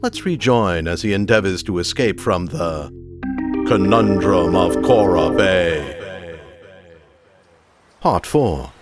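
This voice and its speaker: An actor playing a grandiose old-time radio serial announcer, narrating with melodramatic suspense. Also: male voice, deep voice, expressive, slow, long pauses. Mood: portentous. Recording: loud music under the voice.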